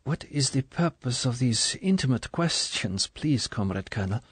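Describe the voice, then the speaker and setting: A man's voice: medium pitch, lively intonation, moderate pace, slightly rough, dry recording, voice pushed up. A man voicing a frightened, guarded young female Soviet clerk in an audiobook. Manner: quiet, guarded.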